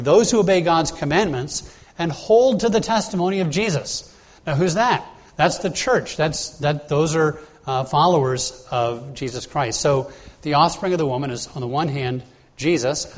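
Higher-voiced man preaching a Bible study. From Bahamas. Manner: plain teaching tone, many filler "uh"s and restarts. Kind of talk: expository sermon on scripture.

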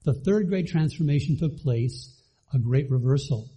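A man's voice: fairly high pitch, animated, normal speed, warm tone, breathy edge, dull audio. A man from the Bahamas giving a conversational church teaching talk to a congregation, asking the audience questions as he goes.

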